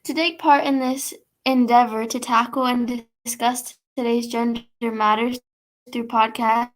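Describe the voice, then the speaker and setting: A woman's voice: on the high side, fairly even intonation, unhurried, rough recording. A woman hosting an old-time style radio show, reading scripted announcements in a polite, formal, presentational way.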